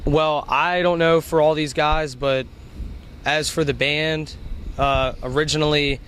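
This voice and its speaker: A young male vocalist, about twenty-one, talks in a loose, rambling way and keeps adding "you know". His pitch stays level and flat. Faint ambient noise sits behind him. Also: a little slow. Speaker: band vocalist. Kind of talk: casual interview answers. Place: outdoors at a music venue.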